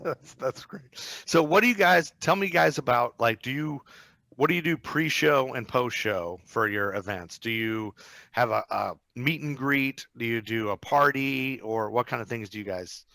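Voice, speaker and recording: A man's voice: medium pitch, normal speed, creaky, bright, forceful tone, dry recording. A man moderating a casual panel as host, chatty, amused and encouraging toward the guests.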